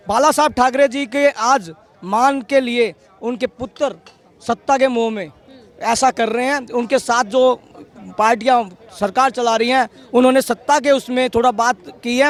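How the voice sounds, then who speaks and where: Guy in a street interview, really high pitch, formal polite wording, stops and starts a lot. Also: moderate pace. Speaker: member of the public being interviewed.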